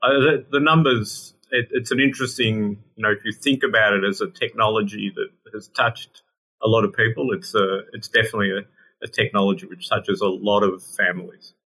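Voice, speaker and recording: A middle-aged man with an Australian accent, answering interview questions in a casual, halting way and often repeating words while he thinks. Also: lowish voice, fairly even intonation, pushed and bright, rough recording. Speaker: guest scientist.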